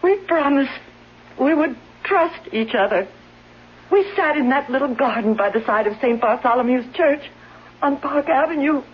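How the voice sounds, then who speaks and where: An actress playing a suspicious, bedridden wife, weak and breathy, accusing and pleading in a 1970s radio drama. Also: female voice, high voice, expressive, normal speed, a little hiss or hum. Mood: desperate pleading. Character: ailing bedridden suspicious wife, bitter and desperate. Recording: dull vintage radio broadcast recording.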